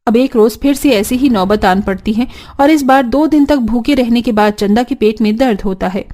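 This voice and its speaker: A woman's voice: fairly high pitch, moderate pace, warm tone. A woman narrating a scripted cartoon story as its storyteller.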